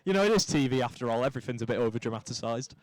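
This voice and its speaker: A young man from St Helens, giving lively live rugby commentary with chatty asides. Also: mid-range voice, racing. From St Helens, England. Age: young adult.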